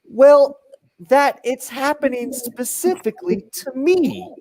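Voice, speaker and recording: Male actor, middle-aged, as self-absorbed comedy adventurer, earnest and whiny, slow delivery with big pitch swings. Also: animated, a little mellow, heavily acted, low-quality recording. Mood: whiny, self-pitying. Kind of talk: comedic radio play acting over video call. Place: room at home, on a video call.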